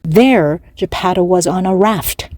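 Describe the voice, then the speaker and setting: A woman reads a children's storybook aloud in short, measured phrases. Her voice is mellow and dry, close and plain. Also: fairly low pitch, no room sound. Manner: measured, with pauses between phrases. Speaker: storybook narrator. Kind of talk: slow, clear read-aloud for language learners.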